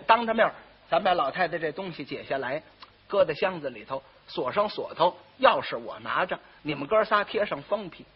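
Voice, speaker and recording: Man playing a bossy Beijing younger sister, husky. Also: high voice, heavily acted. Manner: brisk, scolding, taking charge. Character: bossy, shrewd, outspoken youngest married sister. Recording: muffled, dull old recording.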